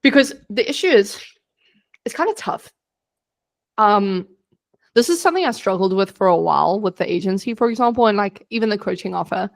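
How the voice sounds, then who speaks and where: A woman's voice: medium pitch, creaky. A young woman giving direct, practical business coaching on a video call, casual and plain-spoken.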